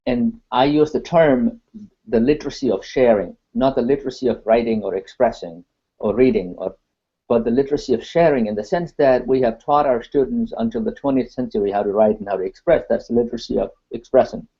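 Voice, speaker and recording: Mellow man, earnest, stacks his points in lists, muffled call audio. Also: medium pitch, a little fast, breathy edge. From Nepal. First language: Nepali. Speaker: discussion participant. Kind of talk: online video call discussion. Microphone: video call.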